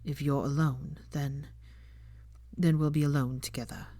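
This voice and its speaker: A woman's voice: deep voice, steady tone, unhurried, mellow, no echo, pitched below the performer's own voice. A woman voicing a dry, tenderly teasing young man in an audiobook story, murmured and clipped. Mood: heavy, tender. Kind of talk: fan fiction audiobook dialogue.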